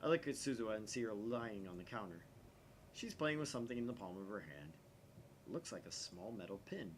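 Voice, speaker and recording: Visual novel lead, male reader: paranoid young mad scientist, theatrical, animated delivery, slightly noisy home recording. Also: lowish voice, fairly quick. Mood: wry inner monologue. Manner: theatrical, suspicious, dramatic whispers and outbursts.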